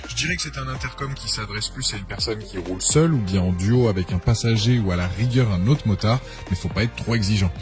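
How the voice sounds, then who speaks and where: Fast-talking low-voiced man reviewing products. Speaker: product reviewer presenting a buying guide. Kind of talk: scripted product review narration. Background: background music.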